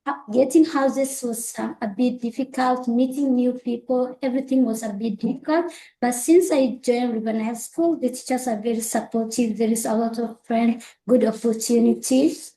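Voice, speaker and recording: A woman's voice: on the high side, fairly even intonation, moderate pace, dark tone. A young woman from Ethiopia, earnestly telling her story as a student guest. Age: young adult. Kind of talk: prepared personal story. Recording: echoey room.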